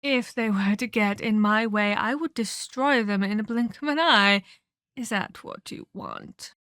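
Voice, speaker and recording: A woman playing a haughty, menacing vampire elder in a scripted ASMR roleplay, speaking in a deliberate, taunting, condescending way with formal phrasing. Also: medium pitch, a bit bright, no echo, studio quality, lightly acted.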